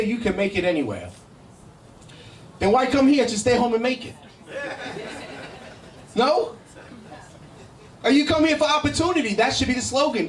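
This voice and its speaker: Middle-aged male stand-up, New York accent, brash and foul-mouthed, pitched high, heard in an echoey room. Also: a little hiss or hum. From Brooklyn, New York, United States. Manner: brash, profane, conversational. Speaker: stand-up comedian. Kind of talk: live stand-up comedy with crowd banter. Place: indoor comedy show in a cafe. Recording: echoey live room, miked from a distance.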